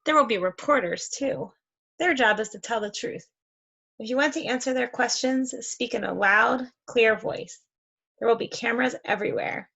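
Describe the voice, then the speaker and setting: A woman reading a picture book aloud to young children, expressive and encouraging, with conversational asides. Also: fairly high pitch, moderate pace, gravelly, breathy edge. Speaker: read-aloud host for children.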